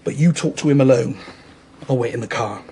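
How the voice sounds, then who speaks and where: An actor playing a decisive British police detective with a husky, creaky voice in a radio drama. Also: male voice, mid-range voice, a little fast, mellow, slight background noise, close to an everyday voice. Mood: keen, decided. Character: keen, decisive male police detective colleague. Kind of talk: naturalistic radio drama acting.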